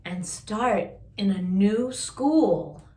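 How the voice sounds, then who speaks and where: A woman reading a picture book aloud to young listeners, with expressive drawn-out emphasis and chatty asides. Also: medium pitch, reverberant. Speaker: children's story-time host reading a picture book aloud.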